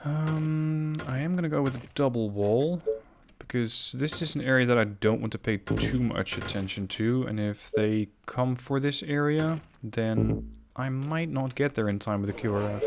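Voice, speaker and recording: A man's voice: medium pitch, moderate pace. Man commentating over noisy game sounds. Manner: thinking aloud, explanatory. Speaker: gaming YouTuber. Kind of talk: casual let's play commentary. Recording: clear game noise behind commentary. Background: video game sounds.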